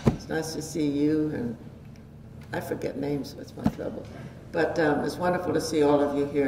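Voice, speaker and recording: Hoarse chatty older woman lecturing. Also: low-pitched. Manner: chatty, animated, gestures a lot. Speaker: guest lecturer. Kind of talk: informal public talk. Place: community meeting room. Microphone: stand mic at a podium. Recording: roomy hall sound, distant mic, audible hiss.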